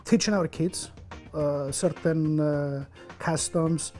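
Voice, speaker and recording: A woman speaking hesitantly with many pauses and fillers in a documentary interview. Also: deep voice, steady tone, mellow, faint music behind.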